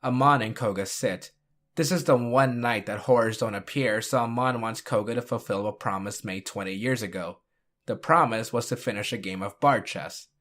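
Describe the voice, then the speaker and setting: A man narrates as the host of a retrospective video. His voice has an average pitch for a male. The delivery is scripted, moving between recap and review. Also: a bit bright.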